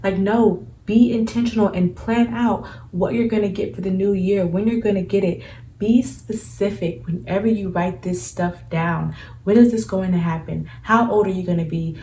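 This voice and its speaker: A woman's voice: medium pitch, fairly even intonation, moderate pace, dark tone, reverberant, far from the mic, slight background noise, poor audio. A woman in her twenties giving earnest, conversational advice in a casual vlog, with frequent fillers. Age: 20s. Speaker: spiritual vlogger.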